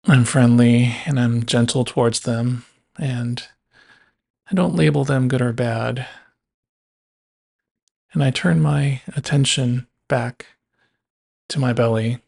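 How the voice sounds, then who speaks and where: A man guiding a body scan meditation in a measured way, leaving long pauses between phrases. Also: medium pitch, fairly even intonation, creaky, a little mellow. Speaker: meditation guide.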